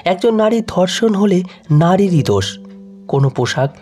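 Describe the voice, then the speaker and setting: Lively higher-voiced man narrating an emotional story. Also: moderate pace, soft background music.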